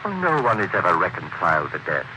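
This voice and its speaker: Detached psychologist, calm and deliberate, deep voice, vintage radio hiss. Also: male voice. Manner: calm, probing, slow deliberate questions. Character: cold calculating male psychologist, middle-aged. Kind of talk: 1940s radio acting. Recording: noisy old radio broadcast.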